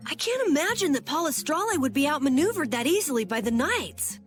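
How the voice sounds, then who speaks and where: A woman playing a brisk, plucky young female adventurer in a video game, blunt and quick to scold. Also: high voice, big pitch swings, over music. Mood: exasperated. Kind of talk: video game cutscene voice acting.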